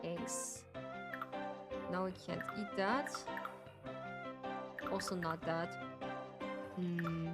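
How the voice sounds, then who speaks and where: Slow-talking woman streamer from Turkey. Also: fairly low pitch, fairly even intonation. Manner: chatty, halting, thinks aloud with many restarts. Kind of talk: casual gameplay commentary. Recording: loud game music behind.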